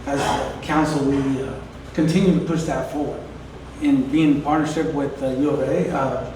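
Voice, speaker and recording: Man, council representative, halting delivery at a press conference, distant mic, noisy room. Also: medium pitch, fairly even intonation. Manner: halting, with many hesitations. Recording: echoey room, distant mic, background noise.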